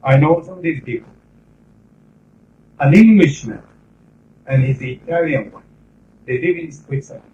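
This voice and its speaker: A middle-aged man with a Japanese accent, lecturing a class in English about interpreting in a measured, explanatory way with pauses between phrases. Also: a little high, lively intonation, a little hiss or hum. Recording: roomy, distant, dull 1990s classroom tape.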